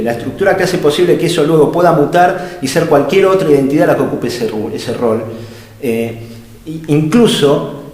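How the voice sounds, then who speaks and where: A man thinks aloud in an unscripted philosophical talk, pausing and restarting as he hunts for the right words. His voice sits at an ordinary middle pitch. The sound rings through a large reverberant hall. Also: darkish tone. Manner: hesitant, searching for words with many fillers and restarts. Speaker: speaker at an art-gallery think tank. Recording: echoey hall with long reverb.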